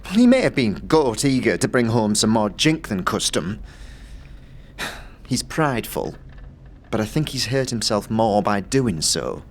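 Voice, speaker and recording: An actress playing a timid, worried middle-aged working-class wife in an audio drama, speaking haltingly and repeating her words. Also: rumbling low, big pitch swings, a little hiss or hum, exaggerated voice. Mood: troubled.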